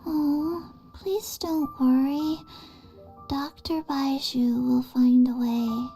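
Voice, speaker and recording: Casting: soft-spoken young game heroine, reassuring, unhurried, high but warm tone. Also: female voice, faint music behind, exaggerated voice. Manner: calm, reassuring, measured. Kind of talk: video game character acting.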